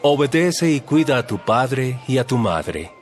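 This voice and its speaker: A male actor playing a calm, authoritative religious teacher in a dramatized audio Bible, speaking in solemn, measured, emphatic phrases. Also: mid-range voice, faint music behind. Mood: solemn instruction.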